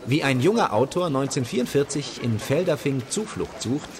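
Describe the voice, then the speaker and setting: An actor playing a hard-up, roguish film actor in a radio play, garrulous and sardonic, with a theatrical, jesting manner. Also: male voice, medium pitch, very animated, brisk, a little hiss or hum, lightly acted. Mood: wheedling, mocking. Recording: crowd and outdoor street sounds behind.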